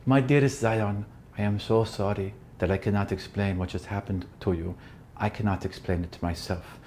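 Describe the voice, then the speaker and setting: A man voicing a mother's tender letter in a tabletop game, soft, solemn and measured. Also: lowish voice, steady tone, a little hiss or hum, lightly acted. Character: worried mother's tender farewell letter, read aloud.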